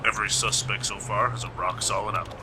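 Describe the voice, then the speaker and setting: A man playing a gruff, put-upon police investigator in an amateur radio play, with a terse, grumbling delivery. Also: on the low side, steady tone, fast talker, natural voice. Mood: glum. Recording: noisy amateur home recording.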